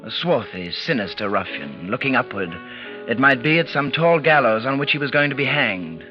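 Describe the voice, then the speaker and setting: An actor playing a tense man recounting a night of terror in halting, dramatic phrases, in 1940s radio style. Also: medium pitch, sing-song, lightly acted. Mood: brooding. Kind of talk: 1940s radio acting, first-person suspense narration. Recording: muffled vintage 1940s radio recording, music behind.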